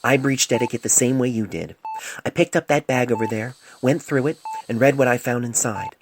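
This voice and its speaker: A man voicing a stern, grieving middle-aged female pony doctor in an audio storybook, grave and measured, on an amateur phone recording. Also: mid-range voice, pitched above the performer's own voice, close to an everyday voice. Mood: grieving, resolute. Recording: noisy amateur cell phone mic recording.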